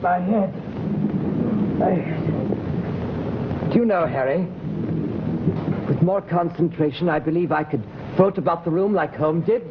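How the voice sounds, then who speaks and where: An actor playing a frail, intense American mystic painter, speaking solemnly and dramatically in an old-time radio drama. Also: male voice, fairly high pitch, takes it slowly, long pauses. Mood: weak, entranced. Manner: solemn, dramatic, repeating phrases. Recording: dull, very noisy old radio recording.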